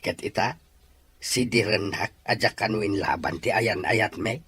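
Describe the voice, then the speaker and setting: A man's voice: lowish voice, a little slow, fairly bright tone, a little hiss or hum. A man with a Filipino accent playing a sorrowful, earnest middle-aged father in an audio drama. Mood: sad, resigned. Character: regretful, pleading middle-aged father, once too poor. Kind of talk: Ilocano audio drama acting.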